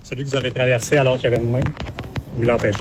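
A man's voice: mid-range voice, little pitch movement, racing, noisy background. A male police officer speaking in a curt, firm way.

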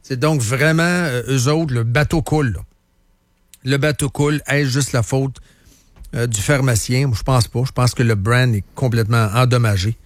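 A male radio host with a Quebec French accent and a mid-pitched voice, recorded close and dry. He talks current affairs in a casual, opinionated way, slipping in English words and repeating himself for emphasis. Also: steady tone, moderate pace, dry recording.

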